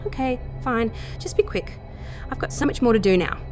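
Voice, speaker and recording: A woman playing a fearful, guarded scientist in an audio drama, with a flustered, clipped way of talking. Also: on the high side. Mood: flustered, reluctant. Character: harried, fearful, guarded female scientist on Mars. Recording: voice over loud music.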